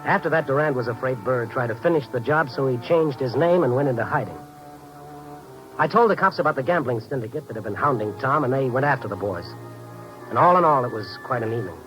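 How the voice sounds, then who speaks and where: Man plays a quick-tongued American newspaperman, wry and loyal, old-time radio crime drama with music underneath. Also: medium pitch, lively intonation, lightly acted, poor audio. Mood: wry, tense narration. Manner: wry hardboiled storytelling. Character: male night-beat newspaper reporter, wry, dogged, lean, loyal friend. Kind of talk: 1950s radio drama acting and hardboiled narration. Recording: old 1950s radio recording, music behind.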